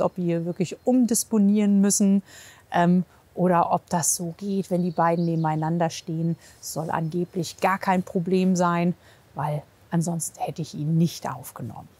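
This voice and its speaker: A woman's voice: fairly low pitch, mellow, slightly rough. A woman talking casually and informally as a chatty host, chatting to the camera and with clients. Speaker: horse physiotherapist, host.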